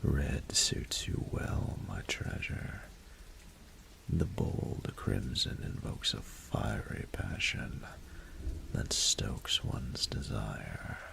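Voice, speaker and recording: Man acting as a rumbling, gravelly, seductive dragon lord. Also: background noise, full character voice. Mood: adoring, sensual. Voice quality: very deep, gravelly, breathy half-whisper. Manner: slow, formal, archaic, seductive phrasing. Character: courtly, flirtatious adult male dragon in human form. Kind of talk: scripted romantic audio roleplay.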